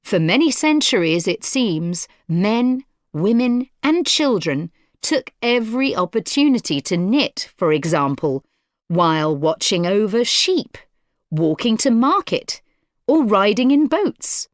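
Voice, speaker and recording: A woman delivering a scripted educational lecture in a measured, clearly enunciated, instructive way. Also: medium pitch, very animated, no room sound, clean studio sound. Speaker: lecturer in an exam listening recording.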